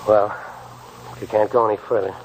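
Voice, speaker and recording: A man's voice: lowish voice, little pitch movement, moderate pace, natural voice. An American man acting an honest, level-headed prospector in a 1940s radio drama, plain-spoken and firm. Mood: matter-of-fact. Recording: noisy old 1940s radio broadcast.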